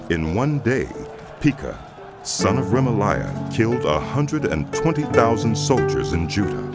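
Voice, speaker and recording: A man reading scripture as the solemn, measured narrator of a dramatized audio Bible. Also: extremely low pitch.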